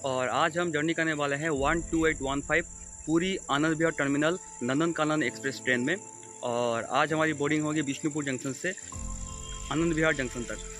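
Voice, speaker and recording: A man's voice: a little high, fairly quick. A young man narrating a train journey vlog, talking steadily through station times and delays, filmed with a phone held at arm's length on railway platforms. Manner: steady informative delivery full of times and stations. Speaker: train travel vlogger. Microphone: phone camera held at arm's length. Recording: loud background music.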